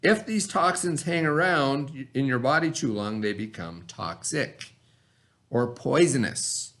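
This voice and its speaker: A man's voice: medium pitch, lively intonation, slow, a bit bright. A man teaching young children in an instructive way, explaining words step by step and checking in as he goes. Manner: instructive, spells and sounds out words, checks with "right?". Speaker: elementary school teacher. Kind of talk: read-aloud lesson for young children, explaining vocabulary.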